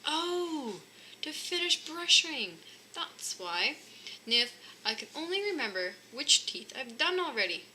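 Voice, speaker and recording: Storybook papa bear, played by a woman: forgetful, blustering, very bright and swooping. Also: high-pitched, sing-song, slow, a little hiss or hum, voice pushed up, exaggerated voice, rough recording. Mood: muddled. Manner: emphatic, absent-minded exclaiming.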